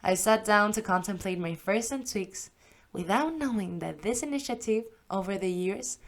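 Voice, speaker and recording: A woman narrating a first-person memoir for an audiobook at a middling pitch. Her delivery is warm and conversational, with lively asides, as if she is telling the story to a friend. It sounds like a studio microphone.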